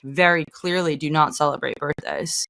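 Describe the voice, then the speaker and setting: Young woman, early twenties, co-host, low-ish voice, laid-back and filler-heavy. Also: fairly even intonation, normal speed, gravelly, pushed and bright, dry recording, rough recording. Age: young adult. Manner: casual, frequent "like" fillers. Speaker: podcast co-host, daughter. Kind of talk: casual chat.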